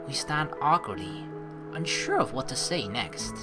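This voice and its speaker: A woman's voice: extremely low pitch, big pitch swings, measured pace. A woman hosting a game playthrough, reading the text aloud and adding jokey, rambling casual commentary. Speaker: let's play host. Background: game music.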